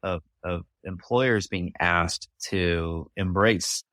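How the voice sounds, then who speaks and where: A man's voice: low-pitched, slow, bright, forceful tone, no echo. A man hosting a podcast, talking in a conversational interview style. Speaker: podcast host.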